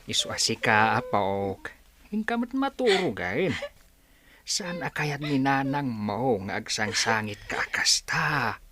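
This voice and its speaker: A woman's voice: extremely low pitch, sing-song, takes it slowly, a bit bright, full character voice. A woman playing a devout, sorrowful old grandparent who consoles the grandchildren with sighs in a radio drama. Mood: gentle, resigned. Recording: faint background noise, clicks.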